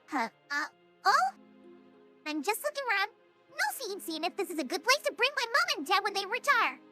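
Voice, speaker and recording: A synthetic female voice playing an excitable young pony detective in a fan cartoon audio drama, chirpy and self-important. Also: very high voice, brisk, faint music behind, exaggerated voice. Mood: awkward, nervous. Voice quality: synthetic cartoonish text-to-speech voice.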